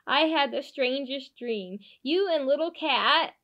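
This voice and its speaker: A woman's voice: high-pitched, unhurried, a bit bright, dull audio. A woman voicing a friendly male dog in a read-aloud picture book, giggly and sing-song. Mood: sleepy giggle. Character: friendly male dog, sleepy then playful.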